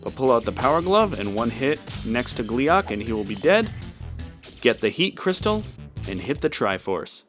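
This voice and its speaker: A man's voice: medium pitch, sing-song, moderate pace. A man giving casual walkthrough commentary on a video game. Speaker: gameplay walkthrough host. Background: game music.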